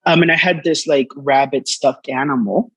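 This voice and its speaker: A man's voice: on the high side, moderate pace, slightly rough, no echo. A man in his twenties from the Dominican Republic, a native Spanish speaker, chatting casually as an interview guest and often padding with "like" and "you know". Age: 20s. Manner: hesitant, frequent "like" and "you know". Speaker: artist guest.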